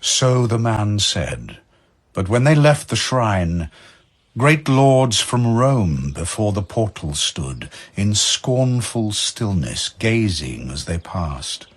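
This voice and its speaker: A man giving solemn, measured dramatic narration of verse as a storyteller. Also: fairly low pitch.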